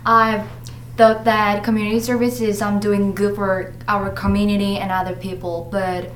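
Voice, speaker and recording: A woman's voice: mid-range voice, flat delivery. Earnest teenage girl from South Korea. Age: teens. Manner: earnest, slightly halting English. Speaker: student guest. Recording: echoey room, faint noise.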